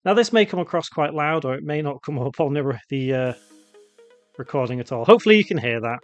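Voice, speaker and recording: A man narrating an unscripted software tutorial, casually thinking aloud as he demonstrates each step. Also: a little high, animated, fairly quick, muffled recording, faint music behind. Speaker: tutorial presenter.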